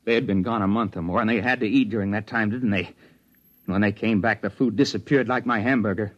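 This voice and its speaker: An American actor playing a stubborn, suspicious investigator in a 1950s radio drama. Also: male voice, on the low side, steady tone, a little fast, dry recording, natural voice. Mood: wry, accusing. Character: hard-boiled male investigator, stubborn, suspicious and driven. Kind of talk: 1950s radio drama acting and first-person narration. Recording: vintage 1950s radio, muffled, faint music.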